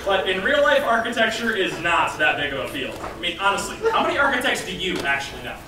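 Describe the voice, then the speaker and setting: A young man playing an excitable scientist who lectures on rom-com rules in a mock-serious, scripted stage style. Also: high-pitched, quick pace, noisy background. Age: young adult. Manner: theatrical, mock-serious delivery. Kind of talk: scripted comic stage narration. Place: stage in a large theater. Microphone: far camera. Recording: distant, very echoey theater stage.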